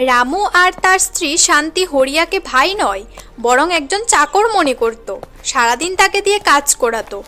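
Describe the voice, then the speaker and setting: A woman's voice: high voice, bright, forceful tone. A woman narrating a scripted cartoon story as a dramatic storyteller.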